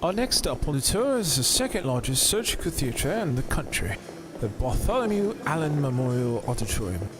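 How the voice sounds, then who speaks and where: A man's voice: mid-range voice, sing-song, normal speed, over music, close to an everyday voice. A man playing a chipper university tour guide in an audio drama, reciting facts in a sing-song patter. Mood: chipper, presentational.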